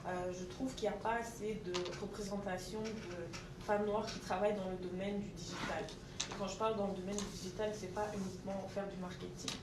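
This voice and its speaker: Panel guest, 20s, Belgian French accent, earnest, fillers; distant echoey mic. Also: female voice, mid-range voice, level tone, brisk. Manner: earnest, self-correcting with many fillers. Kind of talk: panel discussion. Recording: echoey room from far off, noisy.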